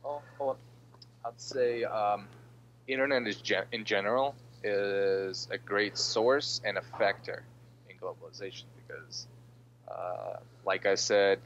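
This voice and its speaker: Man, early twenties, American accent, lowish pitch, casual hesitant answers, dull sound with slight background hum. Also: fairly even intonation, measured pace, fairly bright tone. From Russia. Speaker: interviewee, political science master's student.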